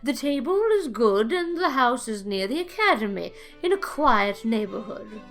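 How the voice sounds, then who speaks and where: An actress playing a genteel, brisk older lady who speaks in a proper, emphatic, formal manner in an audiobook story. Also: fairly high pitch, sing-song, fairly bright tone, faint music behind. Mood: genteel, reassuring. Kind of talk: audiobook character acting.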